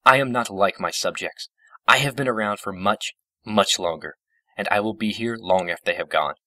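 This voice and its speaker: Man acting ageless storybook princess, female, solemn, formal, raised pitch, distant mic. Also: bright, forceful tone, no echo. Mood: indignant, grieving. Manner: formal, grave, measured.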